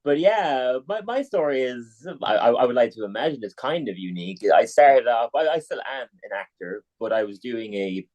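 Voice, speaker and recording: A young Irish man with a mid-range voice talks as a comedian guest on a podcast. He sounds relaxed and chatty, with plenty of filler words and a quick laugh. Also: mid-range voice, highs cut off. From Ireland. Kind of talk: casual podcast interview.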